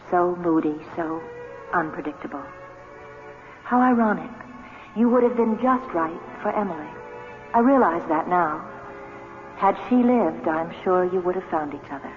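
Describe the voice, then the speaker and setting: An actress playing a composed, gentle woman reading out her farewell letter in a measured radio drama style. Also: fairly low pitch, natural voice. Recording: tinny vintage radio, music behind.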